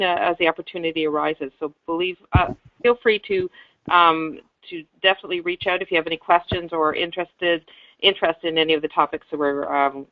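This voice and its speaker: A woman's voice: medium pitch, dry recording. A woman hosting a webinar, introducing speakers in a hesitant way with many fillers and restarts. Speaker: webinar host. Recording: dull lo-fi webinar audio.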